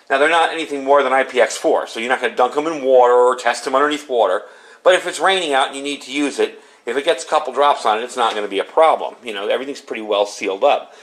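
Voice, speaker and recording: A man giving a casual, unscripted product demonstration in a plain-spoken, explanatory, conversational way. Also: medium pitch. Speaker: product reviewer.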